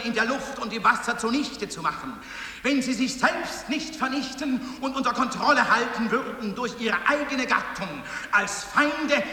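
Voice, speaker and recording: An actor playing a pompous lecturer, declaiming mock-scientific text emphatically and theatrically in an avant-garde radio piece, recorded at a distance in an echoey hall. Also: male voice, very high-pitched, pushed and bright, full character voice.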